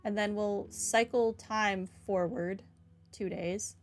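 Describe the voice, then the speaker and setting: A woman's voice: mid-range voice, fairly even intonation, takes it slowly, soft background music. A woman giving casual commentary as she plays through a video game.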